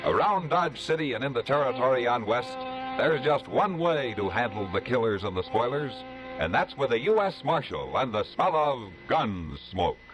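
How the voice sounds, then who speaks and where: A man announcing the opening of a 1950s radio western in a booming, dramatic way. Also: big pitch swings, moderate pace. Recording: dull old radio recording under theme music.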